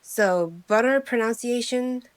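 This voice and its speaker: A young woman from South Korea, a native English speaker, telling her own story to camera in a measured, wry and reflective way. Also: a little high, clean tone. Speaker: English teacher and channel host.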